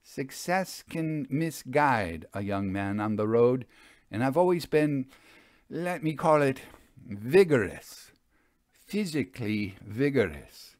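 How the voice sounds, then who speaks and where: A man's voice: mid-range voice, very animated, takes it slowly, a little mellow, no echo. Male reader as theatrical magician, proud, evasive, florid formal speech, swooping intonation, storybook character, close dry sound. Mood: coy, embarrassed. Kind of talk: dramatized audiobook storytelling.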